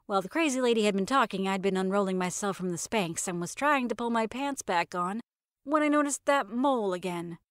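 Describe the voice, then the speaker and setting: A woman's voice: mid-range voice, fairly quick, no room sound. Wry woman narrating comic fiction, dry sound. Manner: wry, sardonic, expressive. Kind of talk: first-person comedic scripted narration.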